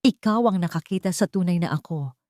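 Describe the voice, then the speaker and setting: A determined, wounded young heroine of a romance drama, acted by a woman narrator. She is earnest and restrained, with a low voice in a dry recording. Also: brisk, darkish tone, no echo, close to an everyday voice. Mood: pleading, shaken.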